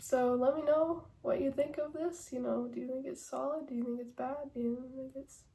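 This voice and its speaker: A woman's voice: high-pitched, fairly even intonation, normal speed, mellow. A young woman with a stuffy nose from a cold, chatting casually and rambling through an album ranking with lots of fillers. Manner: rambling, many fillers and hedges. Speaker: music vlogger.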